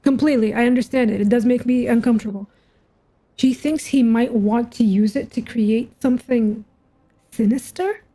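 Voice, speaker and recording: A woman's voice: on the high side, normal speed, mellow, poor audio. A woman giving casual reaction commentary as she plays a game, informal and musing aloud. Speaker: gaming content creator.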